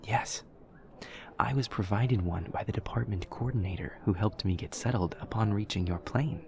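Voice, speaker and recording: A man's voice: fairly low pitch, moderate pace, gravelly, darkish tone, slight background noise. A man playing an awkward, earnest young demon student in an audio roleplay, speaking in a formal, literal, over-explaining way. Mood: earnest, matter-of-fact. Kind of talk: audio roleplay acting, addressing the listener.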